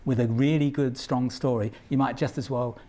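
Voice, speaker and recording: A man's voice: medium pitch, steady tone, normal speed, mellow, a little hiss or hum. A man giving an explanatory interview, speaking emphatically and repeating phrases for stress. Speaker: pantomime commentator.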